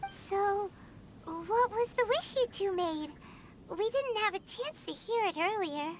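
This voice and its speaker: A woman voicing a tiny, childlike, squeaky game companion who is indignant and easily spooked. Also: lively intonation, slow, slight background noise, heavily acted. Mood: curious. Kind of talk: video game character acting. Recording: loud game music behind.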